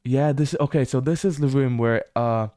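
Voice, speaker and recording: A young British man livestreaming as he explores a game. He chats casually, swears freely and bursts into excited exclamations. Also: mid-range voice, normal speed, no room sound, studio quality.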